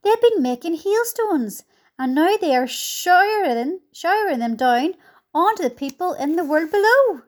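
A woman's voice: very high voice, animated, normal speed, voice pushed up. A woman voicing an eager, brave young boy who calls out urgent warnings. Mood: excited.

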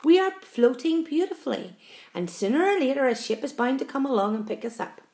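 A woman voicing a calm, confident young boy in a storybook, plain-spoken and level-headed. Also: a little high, quick pace, close to an everyday voice.

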